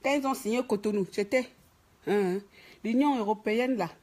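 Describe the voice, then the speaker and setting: A woman's voice: a little high, moderate pace, darkish tone, husky. A woman from Gabon with a Gabonese French accent, scolding and mocking in an emphatic political rant. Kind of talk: ranting political commentary to camera. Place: office.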